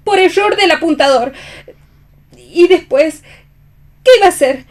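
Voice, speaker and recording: Una actriz interpreta a una mujer caída, apasionada y desdeñosa, que defiende su amor con tono teatral en un radioteatro. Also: female voice, extremely high pitch, a little slow. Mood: defiant. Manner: theatrical, indignant. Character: scornful, passionate fallen woman defending her love. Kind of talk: theatrical radio play reading.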